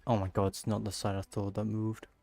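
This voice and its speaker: A man's voice: on the low side, completely flat delivery, a little fast, creaky, warm tone, dry recording, faint music behind. A man giving casual live gameplay commentary, thinking aloud and exclaiming to himself as he plays. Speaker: gaming streamer playing and commenting.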